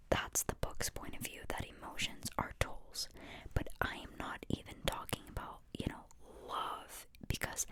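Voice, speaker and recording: A woman speaking in a breathy, unvoiced whisper, reflecting informally and earnestly in an ASMR style as she questions her own ideas. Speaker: host sharing reflections on a psychology book.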